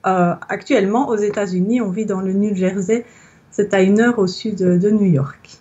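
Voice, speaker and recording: A woman's voice: mid-range voice, steady tone, moderate pace, warm tone, muffled recording. A woman in her thirties from Belgium, giving a reflective interview testimonial and searching for her words as she speaks. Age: 30s. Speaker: interviewee, yoga teacher. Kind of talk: recorded testimonial conversation.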